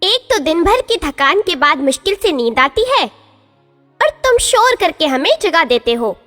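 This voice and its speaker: A woman's voice: very high-pitched, very animated, unhurried, a bit bright, in a large hall, faint music behind, pitched above the performer's own voice, full character voice. A woman voicing a polite female goat in a children's cartoon, pleading and reasoning in an earnest way.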